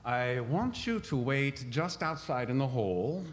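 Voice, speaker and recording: An actor playing a polite, flustered young prince in a radio play, speaking hesitantly and theatrically. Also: male voice, mid-range voice, very animated, measured pace. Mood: wary. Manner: hesitant, stammering, trailing off.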